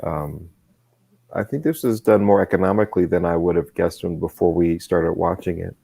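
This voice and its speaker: A man co-hosting a casual review, speaking in a measured, hesitant way as he searches for words. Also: deep voice, vocal fry, dark tone, slightly rough. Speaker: co-host reviewing animation. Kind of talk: casual analytical commentary.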